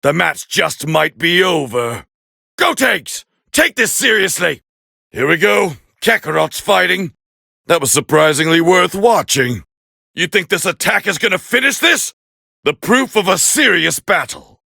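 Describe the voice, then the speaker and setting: A man voicing a proud, scornful alien warrior as a fighting game commentator, gravelly and haughty in an English anime dub style. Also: high-pitched, big pitch swings, a little slow, no echo, clean studio sound, full character voice. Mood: shocked, indignant. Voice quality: gravelly, raspy. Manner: haughty, forceful, clipped exclamations.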